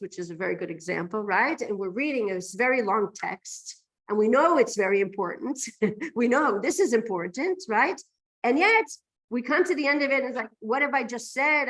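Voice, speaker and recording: A woman's voice: a little high, low-quality recording. A woman teaching a religious class, explaining texts emphatically and often posing rhetorical questions to her listeners. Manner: emphatic, poses rhetorical questions and checks "right?".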